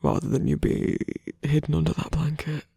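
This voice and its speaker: A man playing a gentle, caring lover in an ASMR roleplay, speaking softly, breathily and hesitantly. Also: fairly high pitch, monotone, moderate pace, no echo, studio quality, exaggerated voice. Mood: gentle concern. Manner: soft, hesitant, breathy delivery. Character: gentle, caring male lover soothing a partner.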